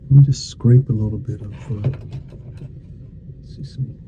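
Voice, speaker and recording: Slow, soft-spoken man in mellow ASMR. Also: medium pitch, fairly even intonation, lo-fi sound, a little hiss or hum. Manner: folksy, reassuring small talk in short murmured phrases. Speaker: ASMR performer playing a dentist. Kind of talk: ASMR roleplay, soft-spoken to half-whispered.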